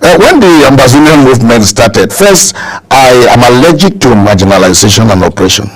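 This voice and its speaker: A man's voice: medium pitch, lively intonation, fairly quick, poor audio. A man from Cameroon with a Cameroonian English accent, speaking as a political guest in a broadcast interview, emphatic and oratorical, repeating phrases for effect.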